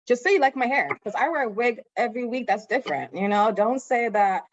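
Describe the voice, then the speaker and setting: A woman's voice: on the high side, animated, a little fast. A woman moderating a panel discussion over a video call, playful and teasing as she reacts to the panelists. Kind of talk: lively panel hosting. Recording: dull lo-fi call audio.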